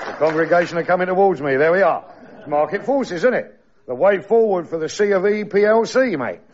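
A man's voice: high voice, lively intonation, a little fast, darkish tone. A man playing a wheeler-dealer vicar with a London Cockney accent, talking in cheeky salesman patter. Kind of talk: sketch comedy acting. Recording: muffled studio recording with audience. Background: studio audience laughter.

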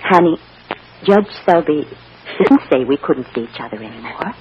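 A woman's voice: low-pitched, expressive, moderate pace. An actress playing a tender, distraught mother coaxing her child in an emotional old-time radio soap style. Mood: gentle, reassuring. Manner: emotional, coaxing, hushed endearments. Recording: muffled, noisy old radio drama recording.

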